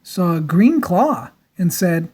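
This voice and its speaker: A woman narrating a children's storybook aloud in a dramatic, theatrical style with deliberate emphasis. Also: fairly low pitch, very animated, a little mellow, husky.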